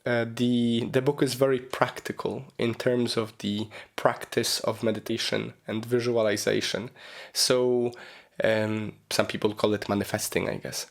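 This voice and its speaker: Hoarse, rambling male podcast host. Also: mid-range voice, level tone, measured pace. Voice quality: raspy. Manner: rambling, many ums and you-knows. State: hoarse from a cold. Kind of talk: casual solo podcast monologue.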